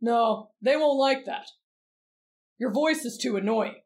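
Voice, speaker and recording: A woman's voice: on the high side, a little slow, close to an everyday voice. A woman voicing a brash, blunt, rowdy teenage boy fighter in an anime roleplay, cocky and challenging. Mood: annoyed. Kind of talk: anime fan roleplay audio drama.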